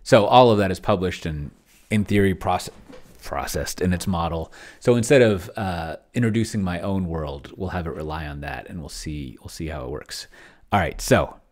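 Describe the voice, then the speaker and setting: A man's voice: low voice, moderate pace. A middle-aged man giving casual, unscripted livestream commentary, rambling as he thinks aloud and trailing off into mumbles. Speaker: host of a tabletop roleplaying experiment stream.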